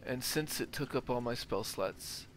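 A man's voice: mid-range voice, a little slow, a little hiss or hum, low-quality recording. A man giving casual gameplay commentary as a gaming host, thinking aloud as he plays. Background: video game ambience.

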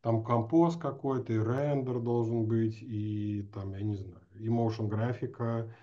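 Mellow-voiced man in his forties, dull audio. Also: on the low side, fairly even intonation, a little slow. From Astrakhan, Russia. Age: 40s. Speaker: host, interviewer. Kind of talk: casual conversational interview. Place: small room indoors, video call. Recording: slightly dull video-call audio.

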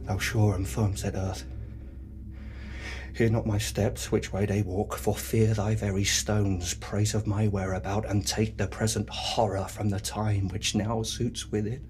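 A man playing a tormented, murderous nobleman in a brooding, deliberate, theatrical Shakespearean soliloquy. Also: lowish voice, little pitch movement, a little mellow, soft background music, natural voice. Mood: grim resolve. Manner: brooding, deliberate, dramatic delivery.

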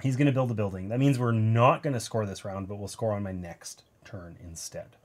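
A man's voice: fairly low pitch, brisk. A man in his thirties with a nasal voice, casually talking through a board game play-through from a gaming table indoors, explaining each move with wry asides.

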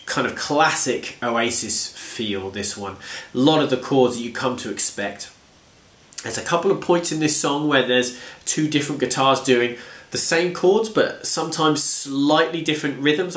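Stuffy-nosed guitar teacher, echoey room. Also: male voice, medium pitch, expressive, moderate pace, fairly bright tone. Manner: chatty, self-deprecating, counts strums aloud. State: congested from a cold. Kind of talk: casual guitar lesson. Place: home room with bookshelves.

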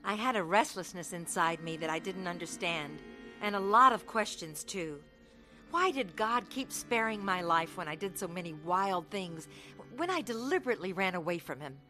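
Radio testimony lead: restless, reckless young woman, bright forceful voice, music underneath. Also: lowish voice, expressive, normal speed. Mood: earnest, regretful. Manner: earnest, confessional storytelling. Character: restless, reckless young woman recalling her wild runaway youth. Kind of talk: dramatised first-person radio testimony.

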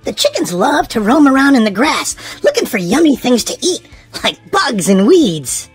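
Animated, husky woman reading a children's story. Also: a little high, normal speed, faint music behind. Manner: expressive, sing-song emphasis on words. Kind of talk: animated read-aloud for young children.

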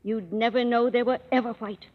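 A woman's voice: on the high side, steady tone, brisk, close to an everyday voice. An actress playing a chiding, homely grandmother in a 1930s radio advertisement skit. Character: fussy, cheerful grandmother. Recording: dull old radio broadcast.

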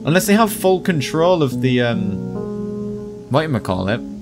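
Animated, higher-voiced young British man. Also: moderate pace. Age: 20s. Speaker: reaction video host. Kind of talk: casual horror gameplay reaction commentary. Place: small indoor room at home. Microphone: close boom microphone. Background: game video music.

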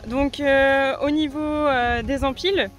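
A woman's voice: high-pitched, steady tone, takes it slowly. A woman in her thirties from France, talking casually to camera on a beach, hesitant with many fillers and restarts. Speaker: sea angler explaining her rigs. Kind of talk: casual gear explanation to camera. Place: outdoors on a beach by the sea. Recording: music playing underneath.

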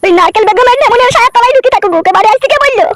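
A woman voicing a sharp-tongued, defiant village wife in a comedy cartoon, combative and foul-mouthed. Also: racing, dry recording, clean studio sound. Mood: furious. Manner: shrill, nagging, combative. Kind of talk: amateur comedy cartoon dialogue.

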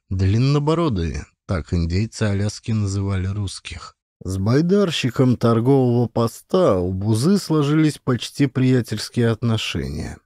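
A man's voice: on the low side, mellow, dry recording, studio quality. A man reading a scripted historical adventure story as an audiobook narrator.

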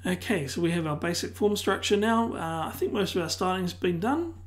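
A tutor losing their voice who keeps clearing their throat, calmly explaining a software tutorial one step at a time. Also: mid-range voice, a little fast. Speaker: web design tutor. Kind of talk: screen-recorded software tutorial.

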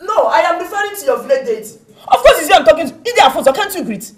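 An actress with a Nigerian accent playing a sharp-tongued, haughty young woman in a comedy-drama, trading mocking insults. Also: very high-pitched, very animated. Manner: mocking, insulting, rapid-fire. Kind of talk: screen comedy-drama acting.

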